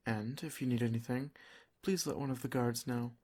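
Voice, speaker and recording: A man playing a doting, protective vampire lord in an ASMR roleplay, soft-spoken, formal and reassuring. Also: mid-range voice, fairly even intonation, normal speed, lightly acted. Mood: tender, reassuring.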